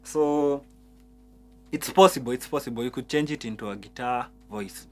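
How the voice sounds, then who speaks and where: A man's voice: medium pitch, normal speed, a little mellow. A man teaching keyboard settings step by step, often repeating words as he talks. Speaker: piano instructor. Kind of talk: keyboard tutorial. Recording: keyboard music behind voice.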